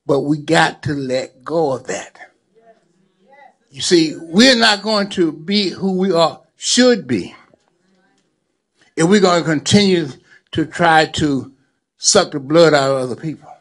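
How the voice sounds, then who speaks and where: A man's voice: fairly high pitch, animated, slow, long pauses, fairly bright tone. A man in his seventies giving a speech to a gathering, preacherly and repeating phrases for emphasis. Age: 70s. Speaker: lawyer and community activist.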